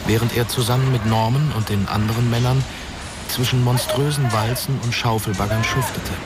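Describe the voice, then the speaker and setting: A man's voice: mid-range voice, fairly even intonation, normal speed, heavy background noise. A man reading scripted narration for a science fiction radio drama.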